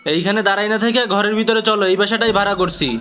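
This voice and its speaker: A man playing a bossy, hurried father in an animated cartoon. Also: high-pitched, soft background music. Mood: matter-of-fact. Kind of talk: amateur animated cartoon dub.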